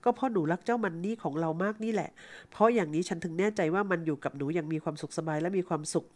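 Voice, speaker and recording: A woman's voice: on the low side, rapid-fire, natural voice. A woman voicing a kindly wealthy old man. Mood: earnest. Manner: gentle, earnest advice-giving.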